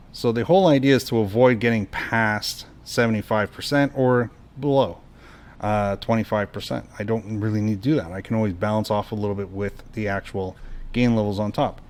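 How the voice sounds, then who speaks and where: A man hosting a hands-on equipment tutorial, calmly explaining each step as he adjusts the controls. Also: mid-range voice. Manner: relaxed, explanatory, talks through each step while adjusting knobs. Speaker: product tutorial host. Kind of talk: casual hands-on equipment demo.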